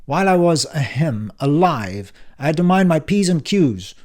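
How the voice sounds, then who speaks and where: A brash, sardonic older uncle barks his lines in a cutting, impatient manner, put on by a man reading an audiobook. The voice sits higher and louder than the reader's own, gruff and bossy throughout. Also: big pitch swings, moderate pace. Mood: brusque. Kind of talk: audiobook character voice.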